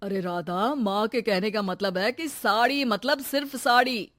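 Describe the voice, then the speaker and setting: A gentle cartoon husband who calms and coaxes his family, voiced by a woman. He speaks evenly and kindly, without much fuss. The recording is close and dry. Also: a little high, unhurried, no echo. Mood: coaxing. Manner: soothing, coaxing. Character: gentle, easygoing young husband.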